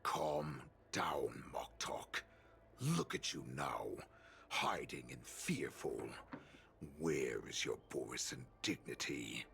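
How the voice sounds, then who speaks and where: Very deep, gravelly wolf warlord. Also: male voice, sing-song, a bit bright, full character voice. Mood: cold, mocking. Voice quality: gravelly growl. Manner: slow, taunting, theatrical menace. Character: menacing, scornful male wolf warlord, predatory and proud. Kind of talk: dramatic video game voice acting.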